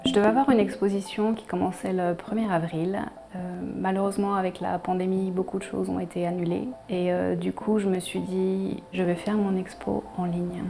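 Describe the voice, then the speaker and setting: A woman with an accent from France speaks reflectively about herself in a documentary interview, often halting mid-phrase. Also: medium pitch, little pitch movement, vocal fry, noisy background. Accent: French from France. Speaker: painter, interviewee. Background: background music.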